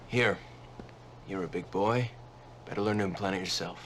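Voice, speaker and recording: An actor playing an authoritative, serious military commander who mentors a recruit in a scripted game cutscene, speaking firmly. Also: male voice, low-pitched, normal speed, fairly bright tone, a little hiss or hum. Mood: proud, firm. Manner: firm, instructing. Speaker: commanding officer.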